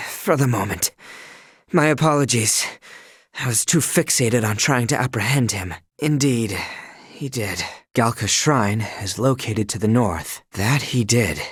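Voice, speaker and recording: A man's voice: medium pitch, dry recording, studio quality. A man voicing a dutiful young knight escort in a video game, speaking formally in courtly, old-fashioned phrasing. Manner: formal, courtly and archaic speech. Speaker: voice actor. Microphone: close studio mic.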